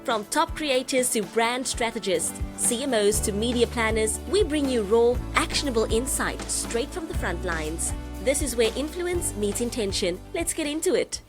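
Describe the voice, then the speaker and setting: A woman's voice: fairly high pitch, animated, moderate pace, few pauses, music in the background. A woman hosting a podcast, speaking in an upbeat, presenter-like way. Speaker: podcast host. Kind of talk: scripted intro, then interview.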